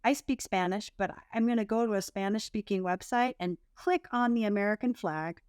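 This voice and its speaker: A woman's voice: medium pitch, fairly quick, darkish tone, smooth, dry recording, studio quality. A woman speaking as an expert podcast guest, conversational, informal and plain-spoken with emphatic points. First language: English. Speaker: podcast guest, content marketing consultant.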